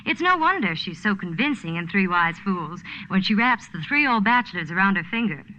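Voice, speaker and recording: A woman's voice: mid-range voice, sing-song, a little fast, pushed and bright. A woman doing scripted promotional chat as a spokeswoman on a 1940s radio show. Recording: dull 1940s radio recording.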